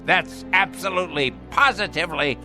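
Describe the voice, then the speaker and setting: A man's voice: high-pitched, pushed and bright, faint music behind. A man acting in a radio drama as a bitter, domineering, dying rich old grandfather who talks in a theatrical, mocking way. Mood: bitter, commanding. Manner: theatrical, mocking, drawn-out delivery.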